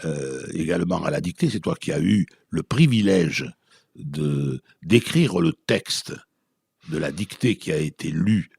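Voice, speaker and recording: A man hosting a conversational radio interview, putting his questions in a halting, reflective way. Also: sits low, lively intonation, gravelly, a little mellow, breathy edge, no echo, studio quality.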